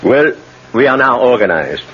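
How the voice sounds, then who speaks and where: An actor playing an older, avuncular casino manager in a 1970s radio drama, speaking with measured, careful delivery. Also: male voice, mid-range voice, sing-song, breathy edge. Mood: worried, grave. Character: genial, fatherly older French casino manager. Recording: muffled old radio recording, faint noise.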